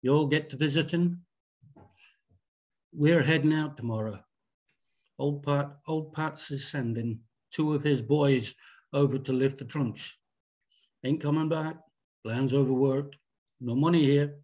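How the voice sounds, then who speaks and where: A man reading as a curt Southern farm master, higher-voiced. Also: measured pace, pauses often, a little mellow. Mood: brusque. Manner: clipped, folksy commands. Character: curt, domineering farm master of 1838 Virginia. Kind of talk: script table read.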